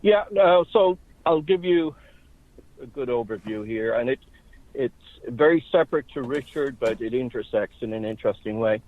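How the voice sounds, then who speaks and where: A man in his sixties, from Ireland, telling his life story as an interview guest in a measured way. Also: mid-range voice, lively intonation, no echo. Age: 60s. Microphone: phone. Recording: tinny phone line.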